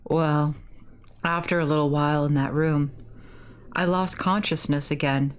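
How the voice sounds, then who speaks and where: Lady doing a tough teen boy from a game, lowish voice, blunt and casual. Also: fairly even intonation, unhurried, a little hiss or hum. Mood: matter-of-fact. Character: tough, blunt teenage high school boy. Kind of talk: dramatic read-aloud of game dialogue.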